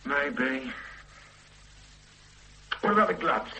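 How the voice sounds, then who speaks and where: A doubting detective. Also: male voice, medium pitch, expressive, extremely slow, gravelly, bright, forceful tone, heavily acted, rough recording. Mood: musing. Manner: probing, doubtful, argumentative. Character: doubting male police detective, stubborn and thoughtful. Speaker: police detective. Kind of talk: radio drama acting.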